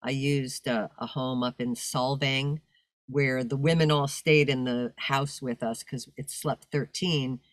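An older woman, a voice-over announcer and coach, talking casually in a video-call interview with a deep, commanding voice and a big, projecting manner. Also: steady tone, unhurried, no echo. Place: home room with fur-draped chair.

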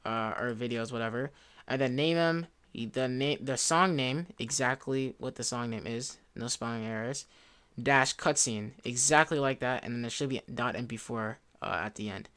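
A young man giving a casual software tutorial in an informal, rambling way, often correcting himself. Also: mid-range voice, normal speed, smooth. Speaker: tutorial presenter. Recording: music behind, keyboard clicks. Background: music, computer typing and clicks.

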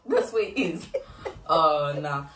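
Young female vlogger, laid-back and giggly, low-pitched, recorded from a far camera in a roomy room. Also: sing-song, drawn-out words. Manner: laid-back, giggly, wraps up addressing viewers. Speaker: channel host. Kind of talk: casual sibling challenge vlog. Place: living room, indoors. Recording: roomy sound from a distant camera.

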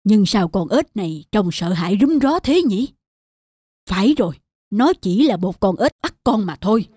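A woman's voice: medium pitch, very animated, normal speed, warm tone, husky, dry recording, clean studio sound. A woman voicing a sly, menacing old male crab in a children's cartoon, coaxing and scheming. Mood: alarmed, scheming.

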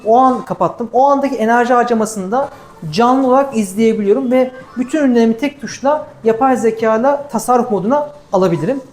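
A woman's voice: a little high, a little mellow. A woman giving a live product demonstration, explaining things step by step as a presenter.

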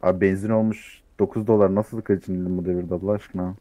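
A man's voice: low-pitched, level tone, quick pace. A man improvising as a hostage in a game roleplay, pleading and protesting casually. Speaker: roleplay player acting as a hostage. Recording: loud game and vehicle noise.

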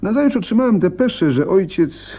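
An actor in a radio drama playing a frightened, helpless young gentleman, telling his story hesitantly and dramatically, with pauses. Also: high-pitched, very animated, breathy edge, exaggerated voice. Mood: anxious, narrating.

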